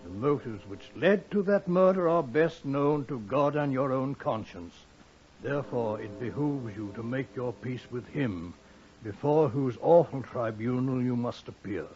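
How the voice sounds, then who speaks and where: An actor playing a stern, solemn judge, speaking in a grave, formal, measured way in a 1950s radio drama. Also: male voice, mid-range voice, lively intonation, darkish tone, soft background music, natural voice. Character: stern, solemn male judge pronouncing sentence. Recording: dull 1950s radio recording.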